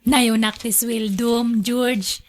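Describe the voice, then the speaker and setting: Flirty rich boss lady, high slow voice, coy, radio drama. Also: fairly even intonation. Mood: flirty, teasing. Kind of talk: Ilocano radio drama acting.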